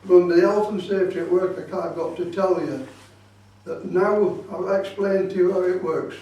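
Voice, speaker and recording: A man's voice: high voice, moderate pace, mellow, muffled recording. A man giving an informal hands-on club talk, explaining things and repeating his safety warnings insistently. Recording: very echoey room, distant microphone.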